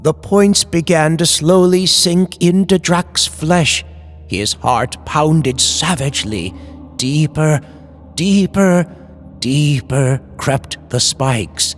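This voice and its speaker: A man reading scripted horror in an old-time radio style, with dramatic, deliberate, suspenseful delivery. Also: on the high side. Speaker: horror story narrator, channel host.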